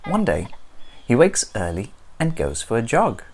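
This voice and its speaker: A woman narrating a children's picture book aloud in an expressive storytelling style. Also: a bass voice, measured pace, slight background noise.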